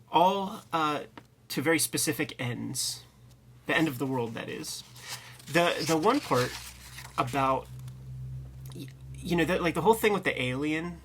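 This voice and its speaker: A man's voice: mid-range voice, fairly bright tone, slight background noise. A man co-hosting a review show in casual, slangy, rambling commentary. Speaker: co-host of a comics review show.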